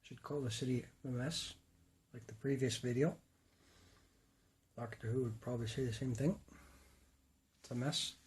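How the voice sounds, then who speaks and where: A man with a rough voice, casually rambling and correcting himself while he shows his model city. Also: mid-range voice, steady tone, moderate pace, long pauses, darkish tone, slight background noise, poor audio. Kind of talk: casual talk-through.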